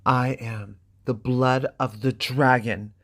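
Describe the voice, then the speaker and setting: A man's voice: mid-range voice, steady tone, takes it slowly, a bit bright. A man voicing a timid young princess, hesitant and earnest, in a dramatic reading of a fantasy story. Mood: steeling herself. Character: timid, soft-spoken young princess finding her courage. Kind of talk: dramatic graphic novel reading.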